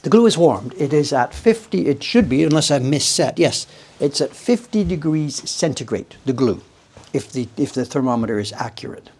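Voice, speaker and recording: A man's voice: mid-range voice, expressive, moderate pace, a little mellow, a little hiss or hum. A man in his seventies chatting casually on a live stream while demonstrating his workshop tools, rambling and breaking into amused laughter. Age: 70s.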